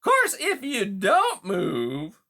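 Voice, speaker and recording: Loud, high-pitched, pushy beaver. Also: wildly swooping pitch, very slow, full character voice. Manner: brash, folksy, talking over others. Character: huge male beaver, pushy cliché-spouting smooth-talking bully. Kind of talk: audiobook character dialogue.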